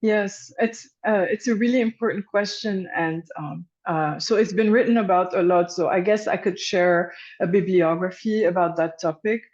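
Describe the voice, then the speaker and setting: A woman's voice: lowish voice, moderate pace, hardly any pauses, darkish tone, dull audio. A woman giving an informal guest lecture and leading discussion, talking conversationally with fillers and restarts.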